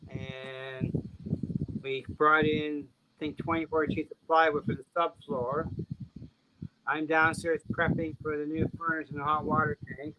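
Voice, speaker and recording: A man's voice: a little high, flat delivery, measured pace, muffled recording, very noisy. An older man chatting as a guest, plain and matter-of-fact. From Canada. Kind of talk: casual chat.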